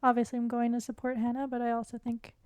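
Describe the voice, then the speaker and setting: A woman with a fairly high voice chats candidly as a podcast guest. Her delivery is halting and reflective, full of filler "like", and stays fairly even in tone. The sound is close and dry. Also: no echo. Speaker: podcast guest, competitive athlete and coach. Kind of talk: candid casual interview chat.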